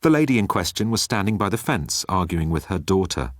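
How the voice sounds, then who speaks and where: A man narrates a comic story in a deep voice. The sound is close and dry, as in an audiobook, with a scripted storytelling delivery. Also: very animated, a little fast, no room sound.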